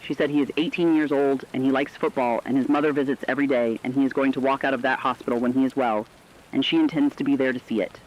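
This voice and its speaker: Man, mid-pitched, solemn measured storytelling, scripted radio narration, muffled lo-fi sound.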